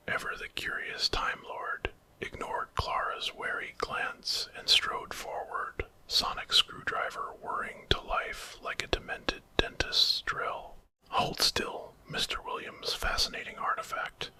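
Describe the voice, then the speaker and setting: Male ASMR horror narrator, fully whispered, very sharp sibilant breathiness, dramatic storytelling. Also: slow pace, rough recording.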